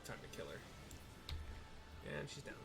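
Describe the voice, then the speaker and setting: A man's voice: normal speed, over loud music. A man describes a video game scene as he plays, speaking casually and loosely. He often hesitates and restarts as he searches for words. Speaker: player narrating a game. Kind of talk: casual live audio description of gameplay.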